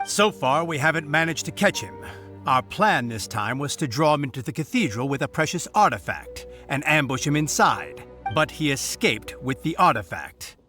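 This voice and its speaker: A zealous patrolling knight from a video game, declaims in a fairly high, forceful voice. He is formal and by-the-book, with animated intonation and some music behind. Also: moderate pace. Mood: explanatory.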